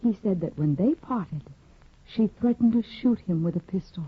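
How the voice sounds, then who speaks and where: Timid devoted young woman, old radio play, breathy voice, thin phone-like sound. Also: mid-range voice, expressive, normal speed, no echo. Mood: earnest. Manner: earnest and anxious, soft-spoken. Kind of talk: 1950s radio drama acting. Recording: tinny old radio broadcast.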